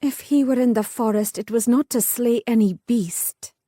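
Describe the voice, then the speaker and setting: A woman's voice: a little high, normal speed, warm tone, no room sound, studio quality, pitched above the performer's own voice, natural voice. A woman voicing a wry, headstrong young noblewoman, speaking in a lilting, old-fashioned way.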